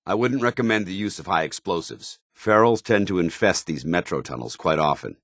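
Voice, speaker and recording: An actor playing a stern, disciplined armored soldier in a video game, speaking in formal, clipped military phrases. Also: male voice, sits low, animated, brisk, fairly bright tone, dry recording, clean studio sound, exaggerated voice. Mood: commanding. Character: stern, dutiful armored soldier paladin, grown man, devout and disciplined. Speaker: companion character. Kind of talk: scripted video game dialogue.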